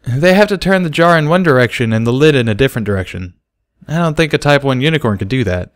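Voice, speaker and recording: A man's voice: medium pitch, animated, quick pace, voice pushed up, lightly acted. A man voicing a breezy, reassuring, matter-of-fact pony mother in a storybook reading. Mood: musing.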